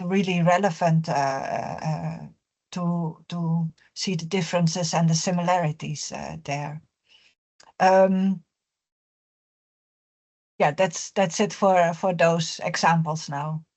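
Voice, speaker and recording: A woman's voice: fairly low pitch, steady tone, slow, darkish tone. A woman speaking as a panelist in a discussion, hesitant, with many fillers between words.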